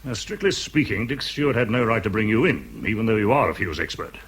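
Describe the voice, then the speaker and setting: An actor playing a courteous middle-aged English commanding officer, clipped and blustery, in a radio drama. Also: male voice, lowish voice, sing-song, quick pace, breathy edge, full character voice. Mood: brisk, concerned. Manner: clipped, blustery, old-boy politeness.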